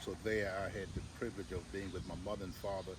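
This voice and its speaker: Low-voiced older man, loud background noise. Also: steady tone, fast talker. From Orange County, Virginia, United States. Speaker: interview guest, reverend. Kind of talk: recounting personal memories in conversation. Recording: distant mic, loud outdoor background noise.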